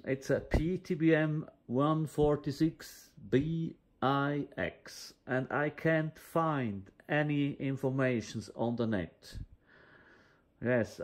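A man giving an informal hands-on demonstration of radio equipment, in halting, fragmented phrases. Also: mid-range voice. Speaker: presenter of a radio equipment channel. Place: indoors at a table. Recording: noisy, with hiss and radio static.

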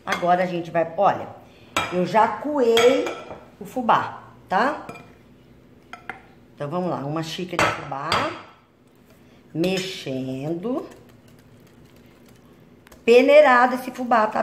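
A woman's voice: fairly low pitch, expressive, slow pace, pauses often, reverberant, background noise. Chatty woman demonstrating a recipe. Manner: chatty, explains each step, adds "tá?". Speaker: cooking channel host. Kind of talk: home cooking demonstration. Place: home kitchen. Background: clinking utensils and bowls.

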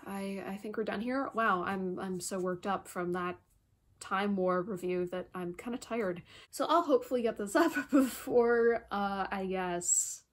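A woman in her twenties chatting casually to camera about books, opinionated, emphatic and expressive, recorded indoors in a small room. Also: mid-range voice, measured pace. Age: 20s. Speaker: book reviewer vlogger. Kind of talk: casual book review chat to camera.

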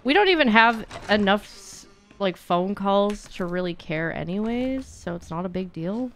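A woman's voice: medium pitch, animated, normal speed, fairly bright tone, soft background music. A young woman giving casual, playful live commentary while streaming a video game, with mock exasperation. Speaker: streamer, speedrunner.

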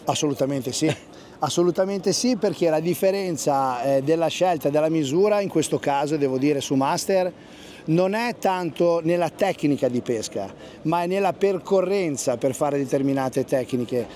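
Guy talking boats outside at a marina, slightly high voice, keeps saying absolutely, faint outdoor noise. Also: moderate pace, mellow. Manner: enthusiastic, repeats "assolutamente". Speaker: fishing guide. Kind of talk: interview at a boat show. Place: outdoor marina at a boat show.

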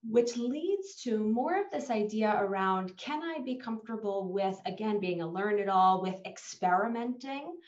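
An American woman in her forties, a leadership expert, speaks animatedly with quick bursts as a guest on a video call from her home office. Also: mid-range voice. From United States. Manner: animated, gestures while talking, quick bursts. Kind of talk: conversational video interview. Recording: echoey dull video call audio.

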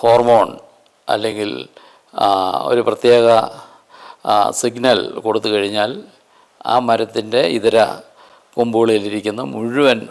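A man presents an unscripted nature explanation in deliberate, halting phrases with emphatic stresses. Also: on the low side, flat delivery, darkish tone.

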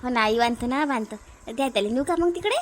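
A woman's voice: fairly high pitch, animated, fast talker, lightly acted. A woman voicing a compliant, chatty young mother in a family cartoon, explaining things in a Varhadi Marathi accent.

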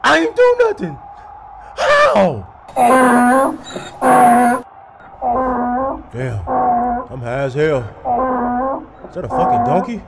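A man's voice: high-pitched, huge pitch swings, unhurried, gravelly, a loud crowd, full character voice. A man voicing a cocky basketball player who talks trash with slangy swearing in a comic dub. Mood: defensive. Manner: taunting, profane, slangy. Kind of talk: comic dubbing of basketball footage.

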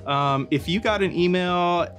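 A man in his thirties explaining a marketing topic in a bright studio, hesitant with frequent fillers and restarts, often asking his co-host leading questions. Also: high-pitched, a little slow, soft background music. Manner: asks the listener leading questions. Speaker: marketing expert. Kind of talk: casual scripted company explainer chat. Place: bright studio with brick wall, standing.

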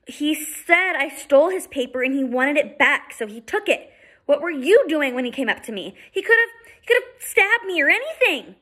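A woman's voice: high-pitched, very animated, moderate pace, bright, forceful tone, pitched above the performer's own voice, exaggerated voice. A woman reading aloud and voicing a frightened, indignant young girl who stammers breathlessly. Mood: scared, upset.

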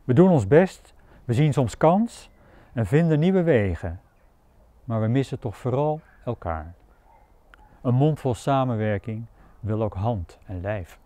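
Slow, mellow man reciting poetry. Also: medium pitch, animated, dry recording. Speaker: poet.

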